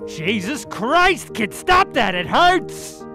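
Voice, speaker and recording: A woman's voice: fairly high pitch, sing-song, takes it slowly, over music, heavily acted. A woman voicing an irritated, haughty talking blob of mud in a comedic radio ad. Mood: annoyed, pained.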